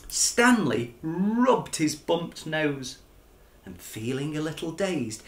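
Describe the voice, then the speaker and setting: A man, reading a children's storybook aloud with dramatic, animated expression. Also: a little high, roomy sound, slight background noise. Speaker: children's author reading his own book. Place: furnished living room, indoors.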